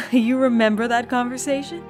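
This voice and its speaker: A woman's voice: a little high, fairly even intonation, normal speed, music in the background, lightly acted. A woman playing an indifferent, aloof lover with a bored manner in an audio drama. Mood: aloof, faintly amused.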